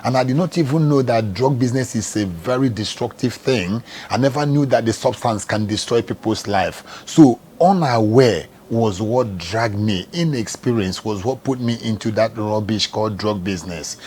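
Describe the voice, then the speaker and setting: A man's voice: fairly low pitch, normal speed, few pauses, a little mellow, slight background noise. A middle-aged Nigerian man with a Nigerian accent, an evangelist telling his life story in earnest, plain words during an interview. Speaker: evangelist and charity founder, interview guest.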